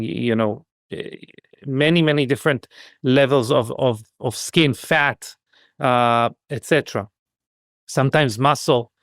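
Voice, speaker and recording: A man speaking as a podcast guest, thoughtful and halting, often restarting sentences as he searches for his words. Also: medium pitch, a bit bright, no room sound, clean studio sound. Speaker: podcast guest, skincare business expert. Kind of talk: conversational interview.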